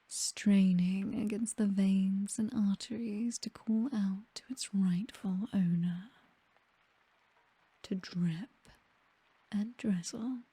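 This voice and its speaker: A British woman playing a possessive, seductive vampire mistress in an intimate ASMR roleplay, with a breathy whisper and a languid, condescending, aristocratic way of teasing. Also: mid-range voice, mellow, dry recording, heavily acted. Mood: predatory, seductive.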